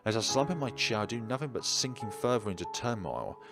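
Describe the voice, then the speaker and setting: A man's voice: fairly low pitch, sing-song, fairly quick, gravelly, music in the background. A man reading an anguished, self-blaming teenage schoolboy who pleads and narrates his own story in a visual novel.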